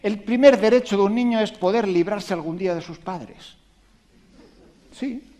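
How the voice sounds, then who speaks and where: A man giving a public talk to an audience on education, animated and emphatic, asking rhetorical questions and making conversational asides. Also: high voice, moderate pace. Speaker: invited speaker on education.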